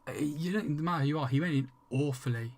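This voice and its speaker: A man's voice: on the high side, fast talker, darkish tone. A man with an English accent chatting casually with viewers during a game livestream, rambling, restarting sentences and swearing freely. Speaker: streamer.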